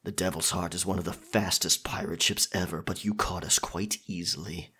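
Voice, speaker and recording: A man playing a captured, proud and defiant pirate captain in an ASMR roleplay, with theatrical old seafaring phrasing and a husky, breathy voice. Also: low-pitched, steady tone, moderate pace, sharp tone, full character voice. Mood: sullen, questioning.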